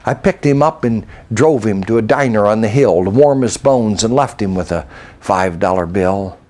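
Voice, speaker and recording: A man's voice: lowish voice, darkish tone. Older American man reciting verse. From United States. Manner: measured, reflective storytelling. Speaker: storyteller and poet. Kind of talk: reciting a rhyming narrative poem.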